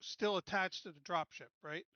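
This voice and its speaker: A tabletop game player thinking out loud, stammering and restarting mid-sentence. The voice is bright and forward, in a middle pitch. The recording sounds dry and slightly dull. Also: normal speed, dry recording. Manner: plans aloud, stammers on restarts. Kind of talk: casual tabletop game planning.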